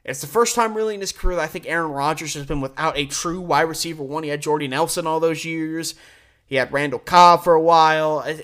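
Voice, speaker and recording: A man's voice: on the high side, fairly bright tone. A man hosting a live sports show, giving opinionated, conversational commentary on game picks and punching key words.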